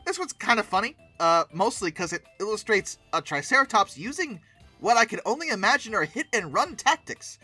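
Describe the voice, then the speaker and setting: Bright, animated commentator over music. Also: mid-range voice, no room sound. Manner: animated, theatrically exclaiming and incredulous. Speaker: video host commenting on old dinosaur art. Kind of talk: casual humorous reaction commentary.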